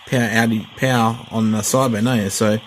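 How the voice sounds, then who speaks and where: A man's voice: mid-range voice, steady tone, brisk, slight background noise. A middle-aged man chatting casually through a radio unboxing and repair, rambling with fillers and restarts. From Australia. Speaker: hobbyist vlogger.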